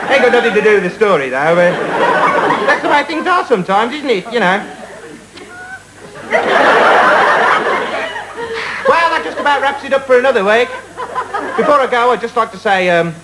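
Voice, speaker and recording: A man playing a dim, self-important young bloke who rambles matily and haltingly through a comic monologue. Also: extremely high pitch. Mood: matey, aimless. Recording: noisy live recording with loud crowd.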